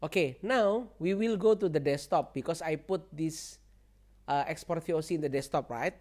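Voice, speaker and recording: Higher-voiced man giving a tech tutorial. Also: normal speed, a little mellow. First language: not English. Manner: repetitive, seeks agreement with "okay" and "right". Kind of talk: informal spoken software walkthrough.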